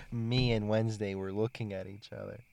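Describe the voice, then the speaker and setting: A man's voice: lowish voice, steady tone, normal speed, vocal fry, a little mellow, slight background noise. A man hosting a casual chat, telling stories in a joking, playful way. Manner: joking, playful storyteller who laughs at his own jokes.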